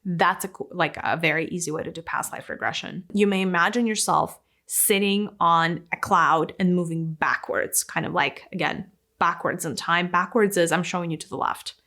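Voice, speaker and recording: A woman in her thirties giving a casual, animated talk to camera in a room, now and then dropping to a near-whisper for emphasis. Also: on the low side, normal speed. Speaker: spiritual teacher. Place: indoor room with plants and a window. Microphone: fixed camera, medium framing.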